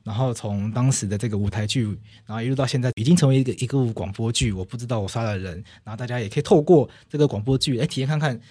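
A man's voice: mid-range voice, rapid-fire, hardly any pauses, dark tone, husky, dry recording. A man with a Taiwanese Mandarin accent hosting a podcast in a conversational style. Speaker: podcast host.

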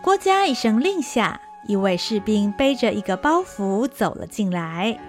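A woman narrating an audio drama in a smooth, expressive storytelling manner. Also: mid-range voice, unhurried, faint music behind.

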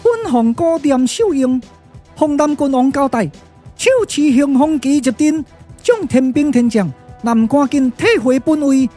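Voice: high voice, lively intonation, measured pace, mellow. A performer voicing a stern warrior woman in a Taiwanese puppet radio drama, declamatory and commanding, with a Taiwanese accent. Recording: music under the voice.

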